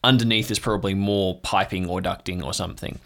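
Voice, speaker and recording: A man's voice: low-pitched, fairly even intonation, fairly quick, a bit bright. A man improvising narration as game master of an audio drama, setting scenes descriptively in the second person. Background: background music.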